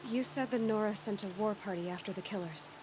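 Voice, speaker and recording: Young woman huntress, acted, game dialogue, inquisitive, direct, restrained, determined, mid-pitched, noticeable rain and hiss behind. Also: fast talker, lightly acted. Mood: subdued, concerned. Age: young adult. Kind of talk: video game dramatic dialogue.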